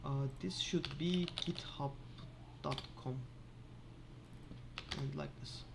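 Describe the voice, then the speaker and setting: A man casually thinking aloud in an office while fixing software, halting with many filler sounds. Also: on the high side, steady tone, vocal fry, background noise. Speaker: Linux developer screencasting.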